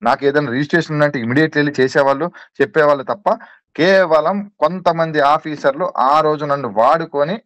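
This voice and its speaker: A man's voice: a little high, fairly even intonation, fast talker, a bit bright, no echo, dull audio. A man being interviewed on a recorded call, protesting insistently and repeating himself as he defends his position.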